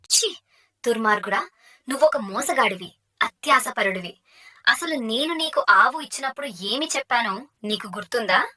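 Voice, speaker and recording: A bright, high-pitched ghost woman from a cartoon fable, acted by a woman. She is fearsome yet soft-hearted, handing down solemn boons and stern commands with emphatic delivery. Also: expressive, moderate pace. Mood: angry, scolding. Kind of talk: dramatized cartoon moral tale.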